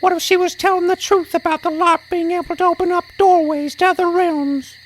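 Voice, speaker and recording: A woman's voice: very high voice, fairly even intonation, a little fast, rough recording. A woman voicing a small, anxious, loyal bird companion with a British accent and slangy, fretful talk in a fantasy audio drama. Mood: anxious pleading.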